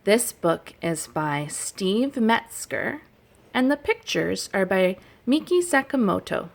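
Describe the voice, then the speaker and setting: A woman who teaches young children, chatting and reading aloud gently in simple, unhurried phrases with little explanations. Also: medium pitch, sing-song, creaky. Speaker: childcare educator leading story and song time for toddlers.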